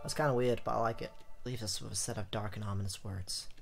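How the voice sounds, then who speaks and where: A man hosting a let's play, mixing excitable, jokey commentary with dramatic reading aloud of the game's text. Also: lowish voice, fast talker, gravelly. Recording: game music behind the voice.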